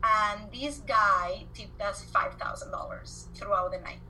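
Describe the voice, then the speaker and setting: Bright-voiced woman with an Argentine accent. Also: mid-range voice, measured pace, a little hiss or hum, low-quality recording. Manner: animated storyteller, repeats words when excited. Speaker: guest entrepreneur. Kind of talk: podcast interview.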